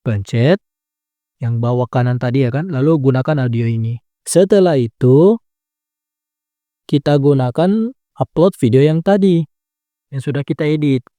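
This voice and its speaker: A man's voice: medium pitch, moderate pace, long pauses, mellow, no echo. A young man giving a casual, chatty step-by-step editing tutorial, laid-back with lots of filler tags. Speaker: tutorial creator. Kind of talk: casual screen-recording tutorial.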